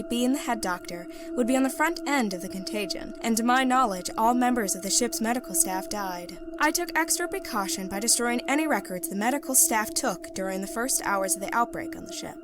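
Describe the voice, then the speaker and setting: A woman playing a composed, ruthless female secret agent, giving a formal, measured science-fiction mission report. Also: on the high side, background noise, close to an everyday voice. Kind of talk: scripted dramatic reading, mission debrief. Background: background music.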